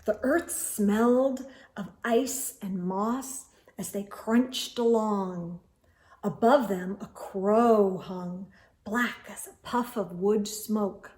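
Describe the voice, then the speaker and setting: A woman reading a children's storybook aloud as host, in an expressive storytelling manner. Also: mid-range voice, slow.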